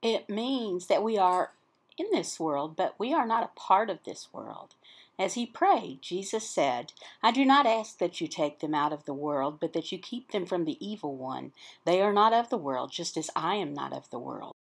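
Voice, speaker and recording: A woman voicing an interpreted sermon as explanatory teaching, in short, halting phrases with pauses between them. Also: lowish voice, lively intonation, vocal fry, slightly rough.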